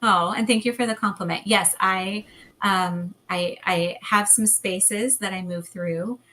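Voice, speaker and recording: A woman, a hypnotherapist, leading a guided hypnosis and then chatting as a guest in a calm, gentle way. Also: mid-range voice, measured pace. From United States. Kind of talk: guided hypnosis then casual interview chat.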